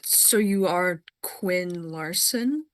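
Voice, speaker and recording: A woman's voice: fairly low pitch, lively intonation, very slow, clear, resonant tone. A woman playing a bewildered, concerned therapist at a short film table read, hesitant and questioning.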